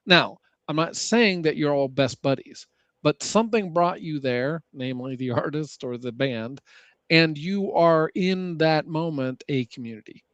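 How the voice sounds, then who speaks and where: A man in a casual chat who argues his point haltingly, often searching for words. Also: fairly high pitch, dry recording, muffled recording.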